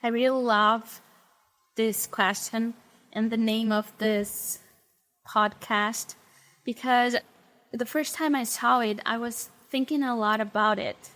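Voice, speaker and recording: A woman's voice: on the high side, fairly even intonation, takes it slowly, fairly bright tone. A young woman from Brazil with a Brazilian Portuguese accent, speaking English as a second language and sharing her faith testimony in an interview, choosing her words carefully. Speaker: interview guest.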